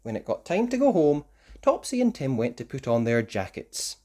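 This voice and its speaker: Brief: storybook narrator for young children, expressive and animated, with wide melodic swings. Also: medium pitch, moderate pace. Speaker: children's story reader, host.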